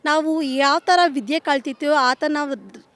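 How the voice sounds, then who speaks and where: A woman's voice: high voice, fairly even intonation, a little slow, a bit bright. A woman giving an informal opinion speech as a contest participant, plain-spoken, mixing in English words.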